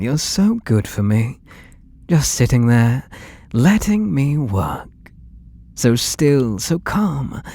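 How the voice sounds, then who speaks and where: A British man playing an obsessive, possessive artist in love, speaking softly and breathily with tender menace in an ASMR roleplay. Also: medium pitch, full character voice. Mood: possessive, menacing. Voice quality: soft and breathy, often dropping to whispers. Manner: tender, slow, menacingly intimate.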